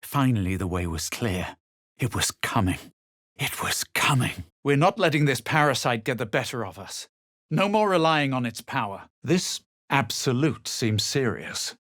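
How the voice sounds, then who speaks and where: An actor playing a proud, self-assured adventurer in a fantasy game, with measured, theatrical delivery and a husky voice. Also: male voice, medium pitch, sing-song, a bit bright, no echo, studio quality. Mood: resolute, assured. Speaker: player character voice actor. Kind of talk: dramatic scripted fantasy game lines.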